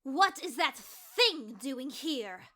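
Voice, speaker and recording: A woman's voice: high voice, very animated, extremely slow, sharp tone, full character voice. A woman playing a haughty, outraged young female ice dragon in a fan audio drama, imperious and accusatory.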